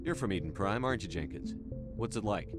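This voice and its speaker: Man playing a brisk, low-voiced stern commander. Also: animated, music in the background, close to an everyday voice. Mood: inquiring. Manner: curt, no-nonsense. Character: stern, businesslike male military commander. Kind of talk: video game voice acting.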